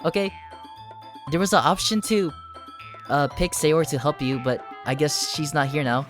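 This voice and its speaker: Female let's-player, naturally very deep register, excitable exclamatory commentary over soft game music. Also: normal speed. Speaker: gaming YouTuber. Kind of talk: let's play commentary and narration.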